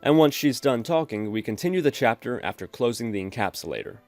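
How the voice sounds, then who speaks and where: A man calmly explaining code step by step as the host of a screen-recorded programming tutorial. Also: mid-range voice, fairly quick, no room sound, faint music behind. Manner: steady explanatory, addresses viewers as folks.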